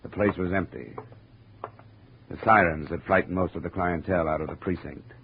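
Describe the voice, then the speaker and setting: Hardboiled 1950s radio private eye, really deep voice, dry and terse, thin old tinny recording. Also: male voice, steady tone, normal speed, vocal fry, husky, full character voice. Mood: matter-of-fact narration. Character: cool, wry hard-boiled male insurance investigator. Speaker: lead actor and narrator. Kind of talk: 1950s radio detective acting, first-person narration.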